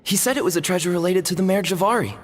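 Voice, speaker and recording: A woman's voice: fairly low pitch, racing, natural voice. An actress voicing a timid, apologetic young boy in an anime fantasy game, hesitant and earnest, often stammering. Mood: remorseful, earnest. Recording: long reverb, music underneath.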